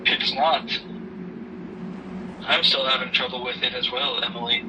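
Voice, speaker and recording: Man acting as a slow, subdued grieving father. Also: level tone, natural voice. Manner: halting, subdued, measured delivery. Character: grieving widowed father gently counseling his daughter. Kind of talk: amateur audio drama acting. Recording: noisy rumbling background, distant mic.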